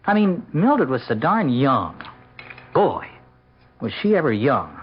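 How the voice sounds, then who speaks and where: An actor playing a naive, bashful middle-aged suitor in a 1970s radio drama, hesitant and boyishly earnest. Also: male voice, mid-range voice, wildly swooping pitch, slow. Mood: chatty, eager. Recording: dull, noisy old radio broadcast.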